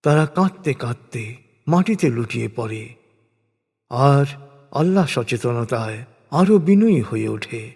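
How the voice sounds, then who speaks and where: A man reading translated scripture as a solemn, scripted recitation, in measured, deliberate phrases with pauses. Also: mid-range voice, animated, gravelly, darkish tone, husky. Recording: long hall-like reverb.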